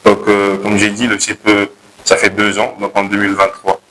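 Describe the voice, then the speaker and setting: A young man with a Congolese accent pitching his project to a jury, hesitating and correcting himself as he goes. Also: monotone, moderate pace, noisy background. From Republic of the Congo. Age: young adult. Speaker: contest candidate pitching a project.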